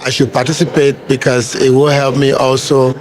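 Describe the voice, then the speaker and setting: Earnest pastor answering an interviewer. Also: male voice, mid-range voice, level tone, normal speed. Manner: earnest, with frequent "uh" fillers.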